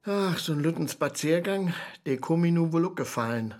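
An actor playing a complaining, reluctant writer in a comic radio play, hesitant, with a Low German accent. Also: male voice, lively intonation, normal speed, a little mellow, lightly acted. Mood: whining. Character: whining, reluctant writer longing for a break.

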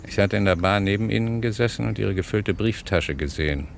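Smug radio-play blackmailer: deep-set, creaky voice, taunting and insistent, slight old-recording hiss. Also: male voice, fairly quick. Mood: smug, gloating. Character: cunning, smug male blackmailer and hotel thief. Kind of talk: 1960s German radio crime drama acting.

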